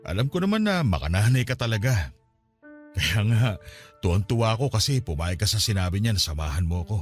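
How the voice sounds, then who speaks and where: A man's voice: on the low side, big pitch swings, a little fast, soft background music. A man acting an older father, a drinker, in an emotional radio drama style. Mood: remorseful pleading. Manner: pleading, emotional. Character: remorseful older Filipino father, a drinker pleading with his child.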